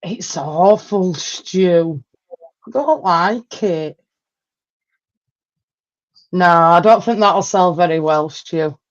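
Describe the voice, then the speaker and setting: A woman's voice: on the low side, extremely slow, long pauses, lo-fi sound. A woman with a Yorkshire accent, hosting a casual football chat stream in a blunt, chatty way. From Yorkshire, England. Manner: blunt, chatty, local dialect. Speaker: host.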